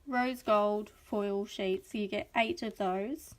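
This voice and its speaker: A woman's voice: mid-range voice, steady tone, slow. A young adult Australian woman hosting a chatty live craft unboxing, gushing and enthusiastic, a little sniffly from a cold. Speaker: craft video host.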